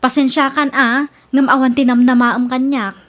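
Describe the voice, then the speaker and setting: Young single mother, female actor, fairly high voice, polite yet firm, radio drama. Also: flat delivery, normal speed, natural voice. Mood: apologetic. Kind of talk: Ilocano radio drama acting.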